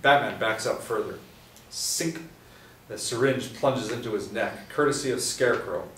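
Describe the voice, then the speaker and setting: A man reading stage directions aloud at a table reading, steady with small restarts. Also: mid-range voice, moderate pace. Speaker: script narrator. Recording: echoey room from a distance, faint hiss.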